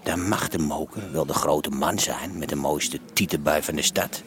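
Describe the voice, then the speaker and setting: Radio drama narrator: street-wise red-light insider, low register, wry, confiding. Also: male voice, moderate pace, a crowd in the background.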